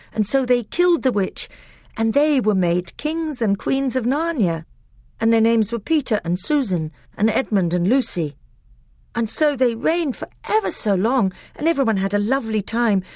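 A woman voicing an eager, timid little boy prince who babbles on in a high, wondering voice, as a storybook reader. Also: darkish tone, no room sound, clean studio sound.

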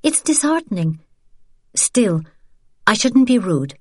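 A woman's voice: mid-range voice, very animated, slow, dry recording, studio quality, voice pushed up. A woman voicing a tired, blunt and defiant young woman who speaks sharply and firmly. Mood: weary. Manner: sharp, exasperated, firm.

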